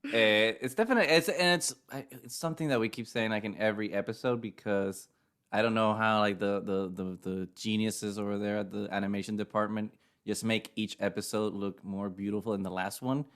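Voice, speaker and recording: Man talking in halting restarts. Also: lowish voice, steady tone, a bit bright. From Chicago area. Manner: halting, many restarts and repeated words. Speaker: podcast co-host. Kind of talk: casual review chat.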